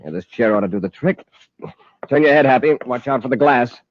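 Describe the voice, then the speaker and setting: An actor playing a steady, authoritative space patrol commander in a 1950s radio serial. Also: male voice, mid-range voice, a little fast, noisy background, natural voice. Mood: calm, determined. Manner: firm, authoritative. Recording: dull old radio broadcast recording.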